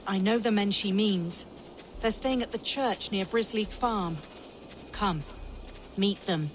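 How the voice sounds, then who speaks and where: A woman's voice: mid-range voice, slow pace, slight background noise, lightly acted. An actress voicing an earnest, grave Saxon woman in a video game, speaking formally. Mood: assured. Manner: grave, formal archaic phrasing. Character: earnest Saxon woman wary of wicked beasts. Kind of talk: dramatic video game acting.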